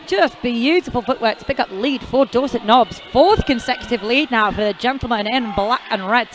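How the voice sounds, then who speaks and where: A woman's voice: a little high, moderate pace, slight background noise. Chatty woman doing roller derby commentary. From half Welsh, never lived in Wales. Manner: chatty, jokey, rambling asides. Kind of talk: casual live sports commentary.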